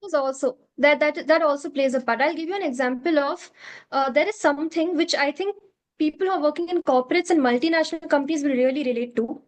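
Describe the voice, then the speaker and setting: Indian woman, Hindi her first language, high-pitched, explains earnestly in an interview, restates each point. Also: quick pace. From South India. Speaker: curriculum developer, interview guest.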